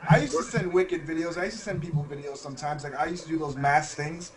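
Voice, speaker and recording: A man with a high voice talks in loose podcast chat, crude and joking. He swears freely and breaks into laughter often. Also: animated, a little fast, background noise.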